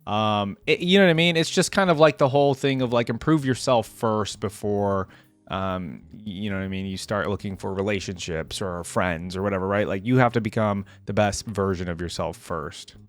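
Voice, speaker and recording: A man's voice: lowish voice, moderate pace. A man hosting a casual game reaction video, talking in an informal, slangy, effusive way. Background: music.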